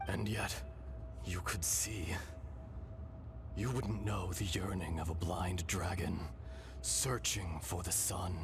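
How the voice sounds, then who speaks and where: An actor playing the calm, sorrowful, benevolent spirit of an ancient dragon in a fantasy game, with grave, measured delivery. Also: male voice, deep voice, over music, full character voice. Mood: wistful. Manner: grave, measured, breathy at phrase ends. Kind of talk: scripted fantasy game voice acting.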